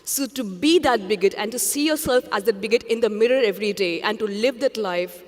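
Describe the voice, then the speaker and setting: A woman's voice: mid-range voice, fairly quick. A woman from India, answering interview questions on stage in an earnest, reflective way. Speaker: journalist, interview guest. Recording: long hall reverb.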